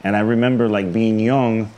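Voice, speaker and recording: Middle aged new york dude, real deep voice, casual slangy interview talk. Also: male voice, steady tone, normal speed, warm tone. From the Bronx, New York. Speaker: interview guest, pro wrestler. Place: indoor studio.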